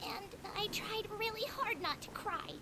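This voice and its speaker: A woman voicing a small, gentle female Melusine creature who talks in an earnest, sweet and eager way. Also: extremely high pitch, unhurried, noisy background. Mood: hurt. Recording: loud game music behind.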